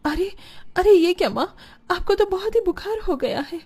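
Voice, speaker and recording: A woman's voice: extremely high pitch, animated, normal speed, darkish tone. A woman playing an emotional, devoted woman of a poor village in a cartoon moral story, with a plaintive manner. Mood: distressed. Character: distressed, devoted women of a poor flood-hit village.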